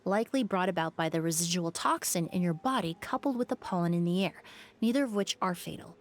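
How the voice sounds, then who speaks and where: An actress playing a calm, analytical female perfumer in a game, speaking in a composed, precise way. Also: fairly low pitch, brisk, a little hiss or hum, close to an everyday voice. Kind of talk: game cutscene voice acting.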